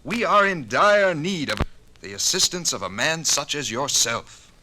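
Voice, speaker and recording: Noisy vintage radio drama, beleaguered male university regent, formal and declamatory, raised pitch, swooping delivery. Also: big pitch swings, a little slow. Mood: grave, pleading. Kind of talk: 1950s radio docudrama acting. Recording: noisy old 1950s radio recording.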